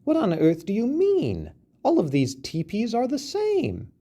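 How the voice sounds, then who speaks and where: A man voicing an indignant little male mouse who argues in a raised, shrill voice. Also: wildly swooping pitch, a little slow, warm tone, exaggerated voice. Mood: astonished. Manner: shrill, pleading, argumentative.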